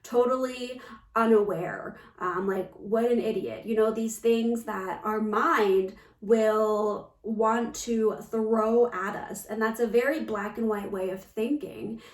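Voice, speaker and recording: A woman giving a reflective spiritual talk as a coach, gentle and earnest, often pausing mid-phrase. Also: mid-range voice, steady tone. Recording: roomy echo, distant from the mic.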